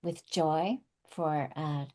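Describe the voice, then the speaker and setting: A woman's voice: low-pitched, very slow, darkish tone. A woman talking casually as a producer guest in an interview. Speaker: podcast guest, table read producer. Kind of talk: casual chat.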